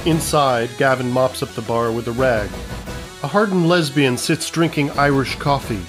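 A man's voice: medium pitch, moderate pace, music in the background. A man reading scripted narration as the narrator of an audio comedy.

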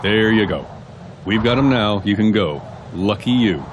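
An actor playing a gruff, authoritative prison security captain in an audio drama, curt and sneering. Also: male voice, lowish voice, animated, moderate pace, noisy background, natural voice. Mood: scornful, commanding. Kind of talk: audio drama acting with military radio jargon.

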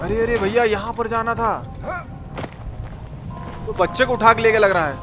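A man playing a folksy, excitable Indian uncle with a Bihari Hindi accent, commenting on a game in crude, exclaiming slang for a live stream. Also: sits high, normal speed, muffled recording, music in the background, lightly acted. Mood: chatty. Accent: eastern Hindi, Bihari. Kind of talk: live horror game commentary with chat replies.

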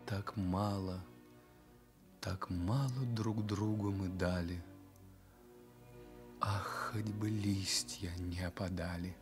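An actor playing a gravely ill, tender journalist in a Soviet radio drama, speaking in a halting, wistful hush. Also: male voice, low-pitched, fairly even intonation, faint music behind. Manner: halting, hushed, wistful delivery.

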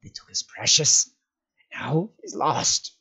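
A man voicing a wretched, cunning cave creature in a storybook reading, whining and hissing, with raspy whispers that break into a shrill voice. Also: sing-song, slow, sharp tone, exaggerated voice. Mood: cackling misery. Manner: whimpering, shrieking, speaks of himself as "we".

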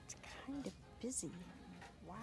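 An older woman hosting a casual vlog, chatting in an easygoing way while she browses a busy thrift store, filmed on a handheld phone. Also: high voice. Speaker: vlog host. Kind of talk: casual shopping vlog chat. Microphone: handheld phone camera. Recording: noisy store ambience.

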